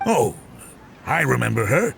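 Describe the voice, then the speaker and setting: A man's voice: mid-range voice, sing-song, measured pace, vocal fry, a bit bright, a faint crowd, slight background noise, full character voice. A man voicing a dutiful, matter-of-fact city guard in a video game, plain-spoken and helpful. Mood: businesslike. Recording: faint music behind the voice.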